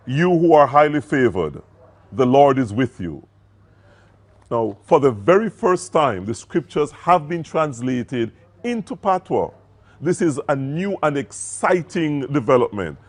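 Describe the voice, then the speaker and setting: A man from Jamaica with a Jamaican accent, reading scripture in English and patois and explaining it earnestly. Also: medium pitch. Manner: earnest, emphatic.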